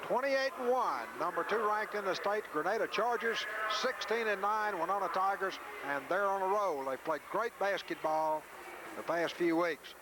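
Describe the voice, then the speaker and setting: A man's voice: high voice, moderate pace. A man doing live radio basketball play-by-play in short, clipped phrases, on an old 1980s recording made in a school gym. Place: school gymnasium during a game. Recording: noisy 1980s radio audio from VHS.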